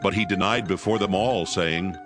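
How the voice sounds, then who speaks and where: Low-pitched male scripture narrator. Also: moderate pace. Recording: background music under the voice.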